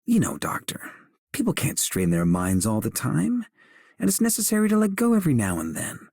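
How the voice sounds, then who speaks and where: Husky, courteous gentleman with lively pitch. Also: moderate pace, no room sound, clean studio sound, full character voice. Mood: warm, gentle. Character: gracious, courteous male game operator. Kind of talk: scripted game character voice lines.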